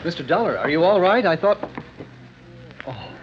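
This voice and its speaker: A bitter, grieving father in middle age, from a vintage radio play. He speaks gravely and haltingly with strong inflection, on a dull recording with slight noise. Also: a little high, sing-song. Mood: anxious. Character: grieving, bitter older father of a dead daughter. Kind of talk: 1950s radio drama acting. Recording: muffled, noisy old radio recording.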